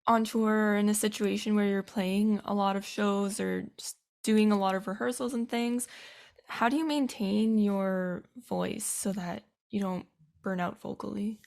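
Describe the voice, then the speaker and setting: A woman's voice: medium pitch, fairly even intonation, unhurried, vocal fry, a little mellow. A woman hosting a podcast, asking interview questions and giving attentive, brief affirmations. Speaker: podcast host.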